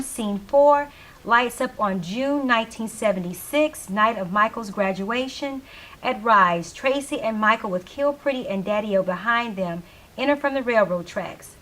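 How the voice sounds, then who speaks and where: A woman's voice: medium pitch, fairly bright tone, poor audio. A woman reading stage directions as scripted narration for a staged play reading. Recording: faint hiss, live room recording.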